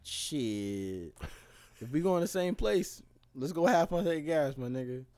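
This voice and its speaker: A man's voice: a little high, animated, normal speed, a little mellow, smooth, dry recording. A young man telling stories and joking animatedly as a podcast co-host. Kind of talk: casual storytelling banter.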